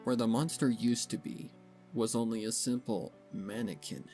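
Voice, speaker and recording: A man narrating scripted fan fiction as a dramatic reading, solemn and measured. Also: mid-range voice, warm tone, faint music behind.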